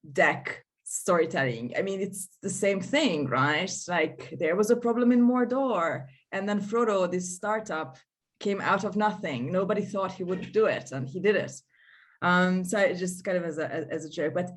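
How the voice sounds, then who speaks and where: A woman speaks in a slightly low voice. She co-hosts a relaxed discussion over a video call. She thinks out loud and often restarts her sentences. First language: Hungarian. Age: 30s. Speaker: co-host. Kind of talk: casual panel discussion.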